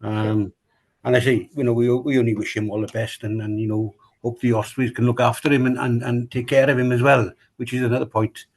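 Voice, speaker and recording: Give me a Welsh man at a mid pitch, rambling through a podcast chat as a club chairman. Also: flat delivery, a little fast, a little mellow. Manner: rambling, repeats himself, many fillers. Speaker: rugby club chairman, guest. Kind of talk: casual podcast interview.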